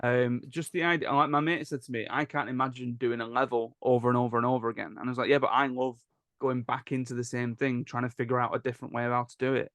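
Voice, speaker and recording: A man with a medium-pitched voice hosts an informal podcast. He talks in a casual, opinionated way, recorded dry with hardly any room sound. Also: flat delivery, fast talker, a bit bright, no room sound. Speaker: podcast host.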